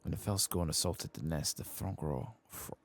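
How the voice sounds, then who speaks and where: A man voicing a weary, solemn game dragon pleading for its brood, soft-spoken, husky and gravelly. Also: extremely low pitch, normal speed, voice pushed up. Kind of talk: reading game quest text aloud.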